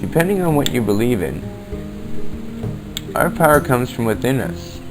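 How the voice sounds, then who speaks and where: A man playing a calm occult practitioner, narrating instructions in a measured, explanatory way on a homemade radio-style podcast. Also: close to an everyday voice, low-quality recording. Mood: instructive. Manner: measured, explanatory, addresses listener as friend. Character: calm occult practitioner teaching spiritual protection. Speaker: podcast host playing a practitioner of spirit work. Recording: homemade low-budget recording with music underneath. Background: clear background music.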